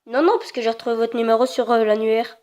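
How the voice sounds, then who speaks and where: A woman's voice: on the high side, steady tone, fast, darkish tone. A woman making a prank phone call as a customer, hesitant and meekly insistent in her manner. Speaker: prank caller posing as a customer. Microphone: telephone. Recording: dull, band-limited phone line.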